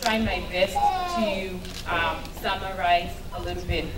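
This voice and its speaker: Slow-speaking woman presenting awards. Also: fairly low pitch, steady tone. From Zimbabwe. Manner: slow, measured, with fillers. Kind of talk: announcing awards. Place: event hall. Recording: echoey hall, distant mic, loud noise.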